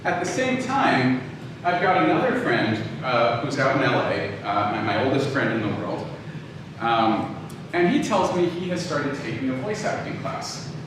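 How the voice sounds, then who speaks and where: A man's voice: mid-range voice, very animated, unhurried. A man giving an informal lecture in a conversational, emphatic way, telling a personal story and checking in with his listeners. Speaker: audio drama producer giving a lecture. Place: live talk in a reverberant room. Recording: echoey room from far off, clear noise.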